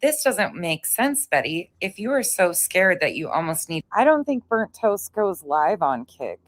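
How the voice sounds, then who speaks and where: A woman's voice: fairly low pitch, very animated, measured pace. A woman commentating casually on a livestream and chatting with viewers, blunt, profane and scornful in manner. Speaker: livestream host commenting on played clips.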